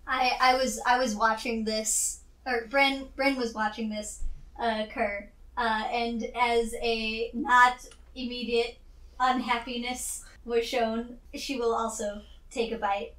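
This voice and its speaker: Slow-talking woman in an echoey room. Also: fairly high pitch, little pitch movement, a bit bright, far from the mic. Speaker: player in a tabletop game. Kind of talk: casual game chat. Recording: roomy, echoey sound.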